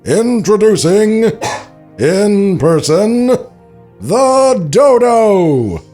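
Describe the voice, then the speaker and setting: A man playing brash, excitable old-time cartoon studio guys with exaggerated delivery in a comedic table read. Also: sits high, very slow, faint music behind, pitched above the performer's own voice, exaggerated voice. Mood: grandiose.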